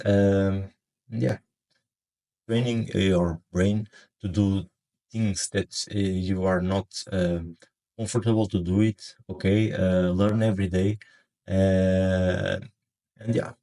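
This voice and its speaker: A man from Portugal with a Portuguese accent, giving casual trading advice to viewers in a halting way, with many fillers and restarts. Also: sits low, dark tone. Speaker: forex trading educator.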